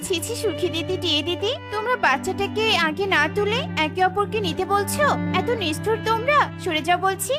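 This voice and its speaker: Lady doing a tiny kind girl bird, super high voice, earnest n firm, kids cartoon, music under. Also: lively intonation, brisk. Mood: shocked, scolding. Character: kind, caring young female little bird, firmly principled. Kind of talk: animated children's cartoon dialogue.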